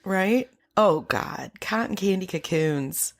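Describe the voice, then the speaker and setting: A woman co-hosting a podcast in casual movie banter, giggly and animated, slipping into mock voices. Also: mid-range voice, slow, soft background music. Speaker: podcast co-host.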